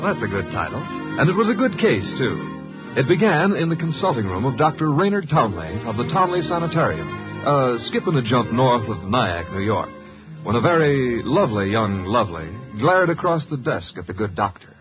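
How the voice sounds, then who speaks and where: A man's voice: mid-range voice, big pitch swings, normal speed. A man announcing and narrating in 1950s radio style, polished and theatrical. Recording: muffled old radio broadcast. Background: music.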